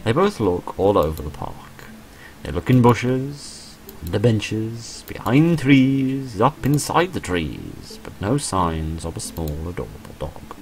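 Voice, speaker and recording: A man's voice: on the low side, big pitch swings, slow, poor audio. A man hosting a visual novel playthrough, reading the story text aloud and adding his own casual asides.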